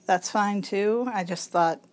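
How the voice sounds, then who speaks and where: A woman with a raspy, congested voice reads a local magazine article aloud, speaking slowly and enunciating with care. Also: mid-range voice. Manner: slow, careful enunciation. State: congested, throat-clearing. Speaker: volunteer reader of a local magazine.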